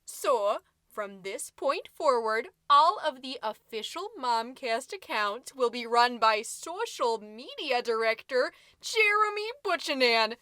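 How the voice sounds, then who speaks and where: A self-important suburban mom, played by a woman, hosts her comedy podcast in a high, bright voice. She is perky and folksy, with fussy theatrical delivery. The sound is close and dry. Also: lively intonation, slow pace, dry recording, exaggerated voice. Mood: chirpy, self-important. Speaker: podcast host. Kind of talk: scripted comedic monologue as a podcast.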